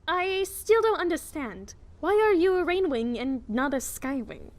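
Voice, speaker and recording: A woman voicing a vain, bubbly, naive young dragon princess in an airy, girlish way for an animated fan dub. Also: high-pitched, unhurried. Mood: smug, airy. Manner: airy, self-satisfied, girlish.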